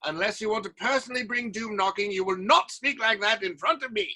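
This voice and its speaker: An actor playing a fervent, protective leader of a theatre troupe, speaking emphatically in a theatrical audio drama. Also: male voice, high voice, brisk, pushed and bright, slight background noise. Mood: firm, reassuring.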